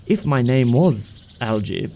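A man playing a smitten young upper-class gentleman in an audio stage comedy, gushing and stammering as he pleads. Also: medium pitch, expressive, measured pace, a little hiss or hum. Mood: anxious coaxing.

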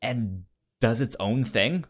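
A man talks slowly in a casual podcast chat. His delivery is halting, with a stammer that repeats opening sounds, and he keeps a conversational tone at an average male pitch. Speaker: podcast co-host.

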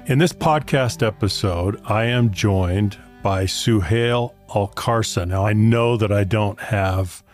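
Low-voiced male podcast host. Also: slow, few pauses, a little mellow, faint music behind. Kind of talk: podcast introduction and interview.

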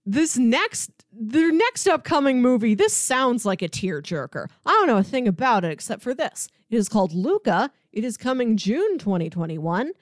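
A woman's voice: a little high, big pitch swings, moderate pace, few pauses, no echo, clean studio sound. A woman co-hosting a podcast in casual, enthusiastic conversation. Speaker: podcast co-host. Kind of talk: casual chat.

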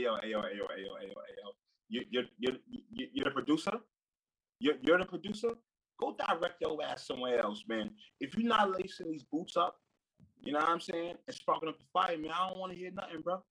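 A man's voice: a little high, a bit bright, breathy edge, rough recording. A man giving a loose, swaggering mock wrestling promo full of slang, laughing as he taunts. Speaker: podcast guest competitor.

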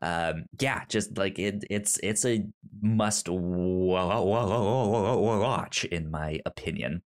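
A man hosting a casual podcast chat, talking in a halting way with many fillers and stammered restarts. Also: sits low. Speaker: podcast host.

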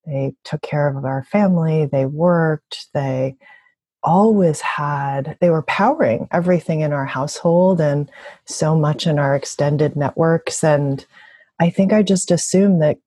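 A woman speaks as an interview guest in a low, dark-toned voice with a husky edge. She is reflective and thoughtful, talking conversationally about her own experience. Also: a little slow, dry recording. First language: bilingual, also speaks Mandarin. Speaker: interviewee, labor organizer and activist.

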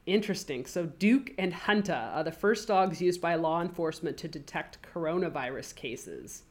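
A woman with a low voice, made a little deeper by a cold, hosts a casual podcast. She chats easily and reads news stories aloud, stopping to add her own comments. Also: normal speed, darkish tone. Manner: chatty, reads news items aloud with asides. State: has a cold, voice deeper than usual. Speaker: podcast co-host.

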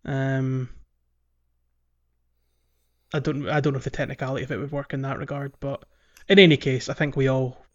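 A man hosting a casual gaming podcast, talking in a halting way and repeating words as he thinks aloud. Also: medium pitch, fairly even intonation. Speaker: podcast host. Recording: slightly muffled audio. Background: faint music.

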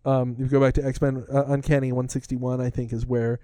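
A man in his thirties hosting a podcast, chatting casually in excited bursts with lots of restarts. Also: mid-range voice, brisk, no room sound. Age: older than co-host, roughly 30s. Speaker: podcast host. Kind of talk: casual chat.

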